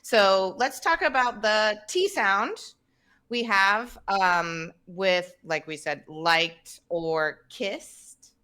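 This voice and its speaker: Woman in her thirties, podcast host on a video call, slow and bright teaching voice. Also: medium pitch. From Tennessee, United States. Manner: slow, clear explaining. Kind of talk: casual teaching chat. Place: home room, indoors. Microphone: video call, webcam.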